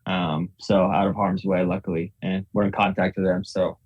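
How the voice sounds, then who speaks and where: A man's voice: deep voice, level tone, moderate pace, slightly rough, lo-fi sound. A young man, born in Ukraine and raised in Virginia, chatting casually as a podcast guest, often laughing and repeating words. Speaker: guest, professional tennis player.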